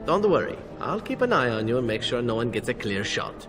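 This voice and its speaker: An actor playing a roguish, flirtatious assassin companion in a video game, teasing and playful, talking smoothly. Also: male voice, medium pitch, big pitch swings, brisk, lightly acted. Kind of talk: video game character dialogue. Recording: loud music behind. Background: loud music.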